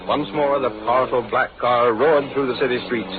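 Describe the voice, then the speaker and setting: A man's voice: mid-range voice, steady tone, moderate pace. A man announcing and narrating an old radio serial in a formal, dramatic scripted style. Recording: noisy, tinny old radio recording.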